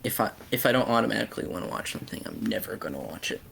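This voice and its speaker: A man in a casual podcast chat, giving blunt opinions in a halting way and often repeating his phrases. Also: lowish voice, lively intonation, gravelly, background noise. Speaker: podcast host discussing films and games.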